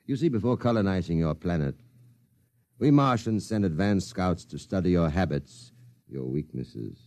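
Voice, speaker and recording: Martian villain, 1950s radio play: low, dark timbre, suave, condescending, unhurried menace. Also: male voice, animated, no echo. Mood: smooth, condescending. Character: coldly polite, scheming male Martian invasion agent. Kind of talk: 1950s radio drama acting. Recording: 1950s radio drama recording.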